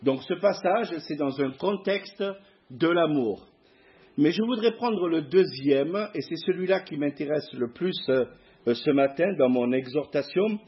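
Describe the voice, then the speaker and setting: A middle-aged man with a southern French accent, a guest preacher giving a sermon in church, hesitant with many pauses. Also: a little high, expressive, highs cut off. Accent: southern French (Provence). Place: church hall before a masked congregation.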